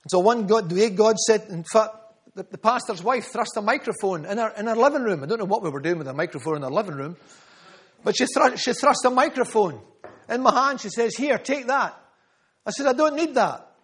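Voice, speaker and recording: High-voiced preacher. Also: male voice, lively intonation, a little fast, fairly bright tone, slightly rough. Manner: earnest, storytelling, repeats phrases for emphasis. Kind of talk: sermon.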